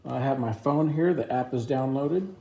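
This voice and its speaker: A man's voice: medium pitch, normal speed, gravelly, warm tone. A man giving a casual, informal product review, explaining features plainly step by step.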